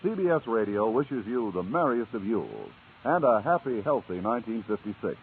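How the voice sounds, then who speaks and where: A man's voice: fairly low pitch, big pitch swings, a little fast, a little hiss or hum. A man working as a radio announcer, reading a scripted public-service message in 1950s style. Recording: dull, narrow old radio recording.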